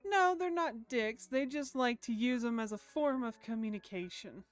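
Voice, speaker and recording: Female game streamer, higher voice, casual and chatty, reads on-screen story between asides, music behind. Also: moderate pace. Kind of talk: casual live stream chat mixed with reading a visual novel aloud. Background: background music.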